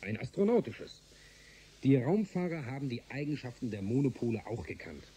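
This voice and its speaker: An actor playing a blunt, wry chief engineer on a space station in a German science-fiction radio play. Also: male voice, medium pitch, sing-song, moderate pace, mellow, natural voice. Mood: indignant, evasive.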